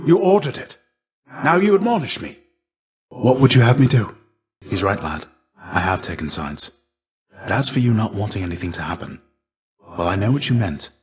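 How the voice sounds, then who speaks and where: A man voicing a weary, gentle fallen Greek warrior as a fatherly mentor in a video game, speaking in a measured, formal, old-fashioned and earnest way. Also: on the low side. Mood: indignant, then grave, guarded. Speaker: voice actor. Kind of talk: video game character dialogue, measured and theatrical.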